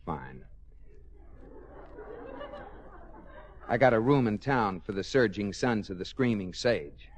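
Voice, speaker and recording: Exasperated mild husband, male actor, lowish animated voice, dry sarcasm, vintage radio comedy with faint noise. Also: normal speed, natural voice. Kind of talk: 1940s radio comedy acting. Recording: faintly noisy old radio recording.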